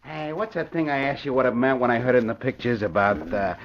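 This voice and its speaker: A man's voice: mid-range voice, fast, close to an everyday voice. An actor playing a cocky, thick-headed big-shot gangster in an old radio crime drama, with boastful, slangy tough-guy talk. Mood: brash. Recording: muffled old radio recording, noisy.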